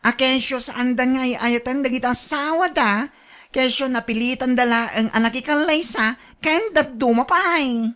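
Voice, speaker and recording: Woman acting a high-pitched, frank Ilocano friend. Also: normal speed, a bit bright. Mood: cautioning. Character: frank, scolding female confidante of the mistress. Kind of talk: radio drama acting in Ilocano.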